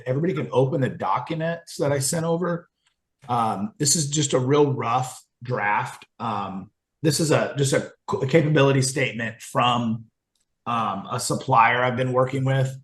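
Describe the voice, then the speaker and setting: A man presenting a draft to colleagues over a video call, casual and rambling, with frequent ums and dry asides. His voice sits at a middle pitch. The call audio is roomy and echoey. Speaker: committee member presenting a supplier.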